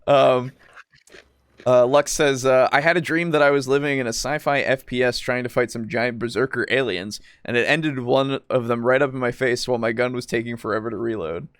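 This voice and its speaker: A man's voice: mid-range voice, steady tone, a little fast, fairly bright tone, clear, resonant tone, no echo. Casual male podcast host, dry close sound. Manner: informal, swears casually. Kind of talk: casual group discussion.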